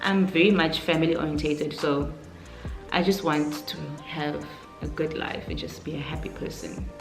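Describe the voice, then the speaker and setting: A woman's voice: sits low, fairly even intonation, moderate pace. A South African woman aged twenty-nine chatting casually and informally in a question-and-answer vlog, thinking aloud as she goes. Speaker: YouTuber, vlogger. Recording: roomy echo, faint music underneath.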